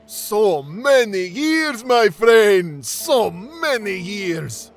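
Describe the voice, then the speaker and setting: A man performs an excitable, loyal Algerian friend in an audio drama. His voice sits high and swoops widely, with words stretched out in exuberant delight. Music plays behind him. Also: creaky, heavily acted. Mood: overjoyed. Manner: exuberant, drawn-out exclamations.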